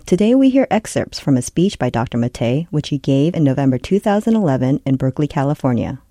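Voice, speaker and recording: A program host reading a scripted introduction in an even, formal manner. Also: medium pitch, fairly quick, dry recording, studio quality.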